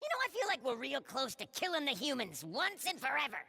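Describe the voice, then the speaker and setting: A woman playing an ambitious, boastful little alien warrior in a video game, gravelly, eager and self-important, with long reverb. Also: sits high, sing-song, a little fast, sharp tone, full character voice. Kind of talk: video game character monologue.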